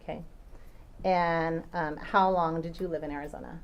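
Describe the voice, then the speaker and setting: A woman attorney questions a witness in a courtroom. Her voice sits a little low, and she asks in a patient, measured way with small filler ums. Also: fairly even intonation, highs cut off. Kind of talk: courtroom direct examination.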